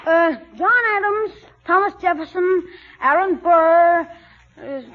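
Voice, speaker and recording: Very high-pitched cheeky schoolboy. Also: male voice, unhurried. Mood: cagey. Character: wisecracking schoolboy, cheeky and cagey. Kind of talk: 1940s radio comedy acting. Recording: dull, faintly noisy 1940s radio recording.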